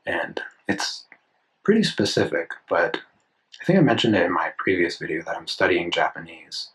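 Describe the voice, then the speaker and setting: A man's voice: low voice, very animated, moderate pace, fairly bright tone, poor audio. A man in his twenties talking casually while he draws, rambling and correcting himself as he thinks aloud. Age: 20s. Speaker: art channel host. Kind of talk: casual talk while drawing. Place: indoors at a desk, drawing in a sketchbook.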